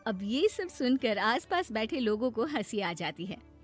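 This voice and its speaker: A woman's voice: a little high, sing-song, measured pace, faint music behind. A woman narrating a scripted moral story for children as its storyteller.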